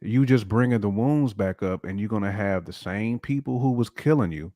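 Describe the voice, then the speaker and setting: Man, low voice, casual slangy banter, emphatic repetition, dry close sound. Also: normal speed, mellow, no echo. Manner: emphatic, repeats phrases for punch. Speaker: podcast panelist.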